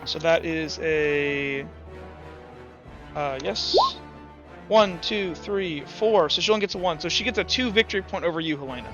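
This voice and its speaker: A man's voice: a little high, normal speed, fairly bright tone, soft background music. Guy gm running a dice game, talks in circles, muffled lo-fi audio. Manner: rambling, restarts often. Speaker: game master.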